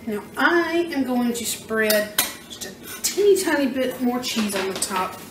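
A woman's voice: a little high, expressive, measured pace, background noise. A woman, chatting casually as she demonstrates a recipe in her home kitchen. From American South. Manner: chatty and self-deprecating, talking while working. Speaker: home cooking vlogger. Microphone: camera mic from across the kitchen. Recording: echoey kitchen, camera mic at a distance. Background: clinking pots, pans and utensils.

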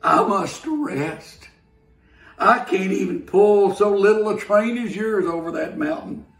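A man voicing a tired, rusty old male engine who speaks in a weary, drawn-out way. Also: high voice, lively intonation, far from the mic, soft background music, heavily acted. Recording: roomy, from the mic.

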